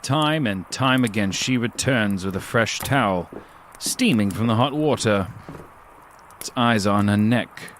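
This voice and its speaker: An actor playing a gloating predatory monster who narrates a dark fantasy audio drama in a theatrical, savoring, sardonic manner. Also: male voice, fairly low pitch, animated, unhurried, a little hiss or hum. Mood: intrigued, scornful.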